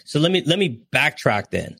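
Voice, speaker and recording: A man hosting a podcast, asking probing questions and giving quick acknowledgements while the guest talks. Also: a little high, big pitch swings, gravelly, bright, forceful tone, husky, dry recording, clean studio sound. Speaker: podcast host. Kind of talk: interviewing a guest.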